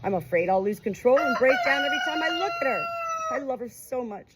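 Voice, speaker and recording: A woman's voice: a little high, huge pitch swings, quick pace, pitched above the performer's own voice. A woman voicing a grief-stricken, loving older father-in-law from a book, pleading and overwrought. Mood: anguished, fearful. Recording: clear background noise.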